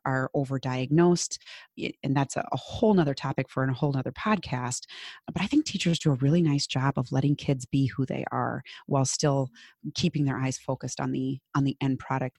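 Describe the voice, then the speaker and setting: A woman's voice: very deep voice, darkish tone, no room sound, studio quality. A woman from Minnesota with a Minnesotan accent, a business coach chatting as a podcast guest, full of fillers and restarts. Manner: chatty, many fillers and restarts, laughs easily. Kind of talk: casual interview chat.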